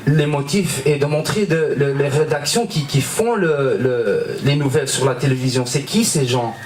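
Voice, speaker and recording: A man whose first language is Dutch, with a Flemish Belgian accent, a filmmaker talking slowly in an interview and often searching for his words. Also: mid-range voice, a little mellow, reverberant, a little hiss or hum. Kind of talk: casual interview.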